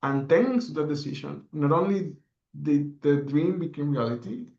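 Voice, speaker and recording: A man's voice: fairly high pitch, normal speed, a little mellow, reverberant, muffled recording. A young man from the Dominican Republic, a podcast guest telling his story in an earnest, reflective way with many fillers. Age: young adult. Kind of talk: interview answers.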